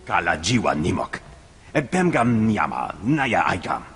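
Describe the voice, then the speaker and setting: A man voicing a gruff alien who speaks an invented guttural tongue in a raspy, gravelly voice, as in a video game cutscene. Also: low-pitched, very animated, measured pace, a bit bright, exaggerated voice. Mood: gruff, urgent. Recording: long reverb, music underneath.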